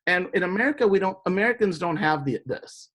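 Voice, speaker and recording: This is an instructor from Indonesia teaching a live class. The delivery is explanatory and steady, pausing often to check in with the students before moving on. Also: medium pitch. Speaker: biology instructor. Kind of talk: classroom lecture.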